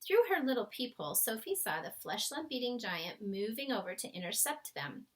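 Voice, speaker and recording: A woman's voice: on the high side, sing-song, normal speed. A woman reading a children's story aloud as scripted narration. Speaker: storybook reader.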